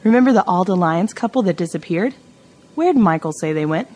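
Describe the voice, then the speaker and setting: An actress playing a brash, confident amateur sleuth in an audio drama, blunt and sarcastic. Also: female voice, fairly low pitch, lively intonation, fairly quick, warm tone, breathy edge. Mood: confident, explaining. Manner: sarcastic, blunt, self-assured.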